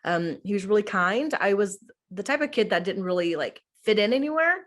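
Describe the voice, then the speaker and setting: A woman's voice: medium pitch, animated, a little fast. A young adult woman from the United States, a middle school teacher answering interview questions in a casual, chatty way full of "like" and "um". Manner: chatty, heavy use of "like" and "um".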